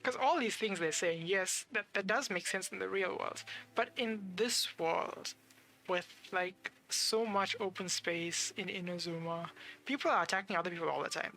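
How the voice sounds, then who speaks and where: A man giving casual live game commentary as a streamer, thinking aloud with hesitant pauses. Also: sits high, creaky, sharp tone, low-quality recording.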